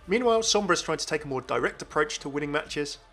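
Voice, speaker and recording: A man's voice: a little high, lively intonation, fast, bright, forceful tone, faint music behind. A man hosting a gaming channel, giving chatty, enthusiastic, informal commentary on a video game.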